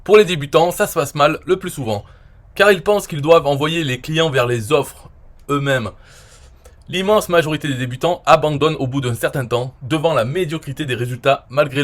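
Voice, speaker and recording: A man from France in his forties talking casually and at length, rambling with many fillers and often trailing off quietly. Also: fairly high pitch, brisk. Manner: rambling, frequent "euh" and "voilà", trailing off quietly. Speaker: online marketer reviewing his own interview. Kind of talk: casual explanatory monologue.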